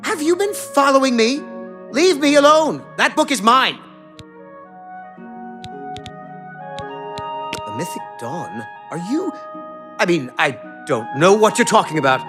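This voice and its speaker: A man's voice: high-pitched, very animated, normal speed, over music. A man voicing a pompous, easily flustered, defensive book collector in a fantasy video game. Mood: indignant, defensive. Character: haughty, nervous, defensive male book collector and cult enthusiast. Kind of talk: video game dialogue acting.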